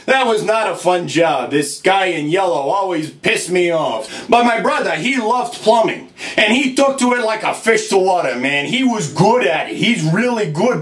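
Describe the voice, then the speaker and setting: An echoey, distant recording of a high-voiced, gruff-tempered plumber in a comedy monologue. He is a put-upon older brother who rambles crudely to his customer and keeps tagging phrases with "right?". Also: fairly bright tone. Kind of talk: comic character monologue, chatting to a customer. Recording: roomy echo, distant from mic.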